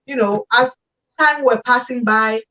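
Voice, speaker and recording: A woman's voice: a little high, measured pace, sharp tone, far from the mic, dull audio. A woman with a French accent, a business coach speaking earnestly in an interview, often repeating words. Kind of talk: interview over video call.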